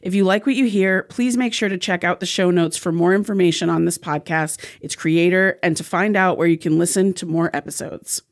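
A podcast host reading a welcoming, measured scripted intro. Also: mid-range voice, steady tone.

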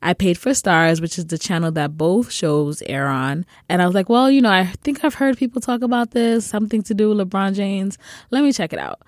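A woman's voice: mid-range voice, a little fast, no room sound, clean studio sound. A woman co-hosting a podcast, bubbly and chatty, laughing often and peppering her talk with "like". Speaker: podcast co-host. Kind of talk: casual chat.

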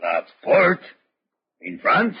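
An actor playing a gruff, blustering colonial innkeeper in a radio drama, with archaic, commanding speech. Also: male voice, a little high, wildly swooping pitch, very slow, full character voice. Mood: suspicious, blustering. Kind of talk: old-time radio drama acting. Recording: dull old radio recording, faint noise.

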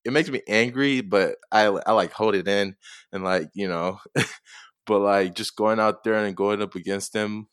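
A man's voice: fairly low pitch, a little slow, a bit bright, clear, resonant tone, no echo, studio quality. A young man from Tonga giving casual, somewhat hesitant interview answers as a college football player. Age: young adult.

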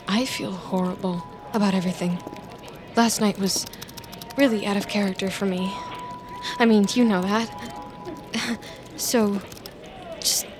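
A woman's voice: mid-range voice, natural voice. A woman acting in audio fiction as an anxious, guilt-ridden, obsessive office worker who rambles hesitantly and apologetically. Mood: apologetic, pleading. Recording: music bed under the voice.

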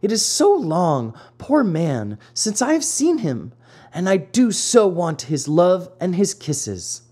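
Reader putting on a higher, pleading storybook puppet boy, remorseful and lively in tone. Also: slow pace, slightly rough, exaggerated voice. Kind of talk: storybook reading.

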